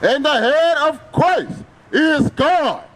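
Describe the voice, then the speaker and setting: A man reading Bible verses aloud in a declamatory, deliberate, drawn-out way as a preacher's reader. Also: very high voice, animated.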